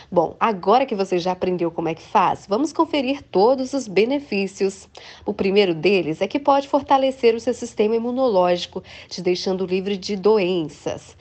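A Brazilian woman hosts a health tips channel in voice-over. Her voice sits at a middle pitch for a woman. She explains step by step and speaks directly to her listeners. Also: darkish tone. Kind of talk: instructional voice-over narration.

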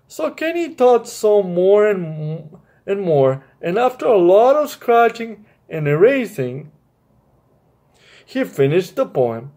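Casting: young male story-time reader, high voice, very lively pitch, halting delivery with repeats. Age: young adult. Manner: halting, often stumbling and repeating words. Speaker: storyteller reading a picture book. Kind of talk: read-aloud story time for children, with informal commentary. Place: small bright room indoors.

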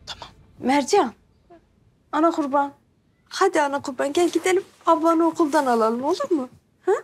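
An actress playing a gentle, doting young mother in a television drama, tender and coaxing, full of endearments. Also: sits high, normal speed, natural voice.

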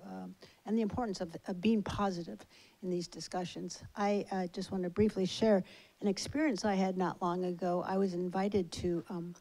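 Middle-aged woman, audience comment, warm low-key tone, handheld mic, big hall. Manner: measured storytelling with fillers. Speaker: audience member. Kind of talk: public comment at a lecture.

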